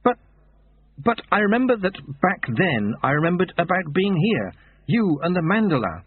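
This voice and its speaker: A man's voice: high-pitched, sing-song, moderate pace, husky, pitched above the performer's own voice, heavily acted. A man voicing an anxious, bewildered young novice who keeps asking questions. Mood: shaky. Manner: questioning, shaky and earnest. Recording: tinny phone-like sound.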